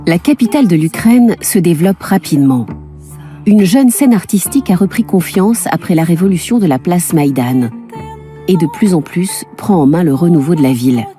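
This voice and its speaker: A woman delivers documentary voice-over in a low, measured register. Her scripted narration stays even and composed, with music playing clearly beneath her voice. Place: recording studio.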